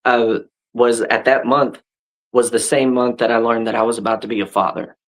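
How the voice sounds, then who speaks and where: A man's voice: medium pitch, flat delivery, moderate pace. A man in his thirties, a wrestler hosting a podcast, talking casually with frequent restarts and "right?" tags. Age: 30s. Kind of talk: casual chat.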